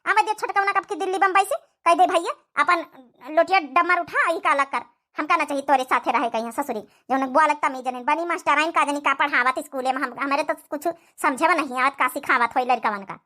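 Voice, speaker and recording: A woman's voice: high-pitched, brisk, few pauses. A woman voicing a scolding, indignant old village mother-in-law in a comic cartoon, with an Awadhi accent and nagging questions. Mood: exasperated. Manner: nagging, repeats sharp questioning tags.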